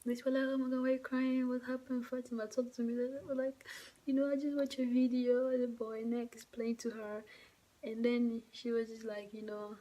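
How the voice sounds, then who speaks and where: A young woman who speaks English as a second language, telling a personal story to camera in a halting, soft, emotional way with many fillers. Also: on the high side, flat delivery, poor audio. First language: not English. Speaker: vlogger. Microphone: phone or camera mic.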